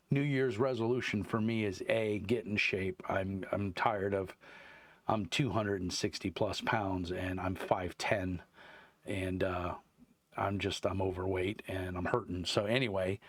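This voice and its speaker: A man with a deep voice hosting his tabletop game review channel, rambling casually with lots of fillers and restarts. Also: fairly even intonation, unhurried, dry recording. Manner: meandering, many fillers and restarts. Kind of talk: casual rambling chat to camera. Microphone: wireless clip-on mic.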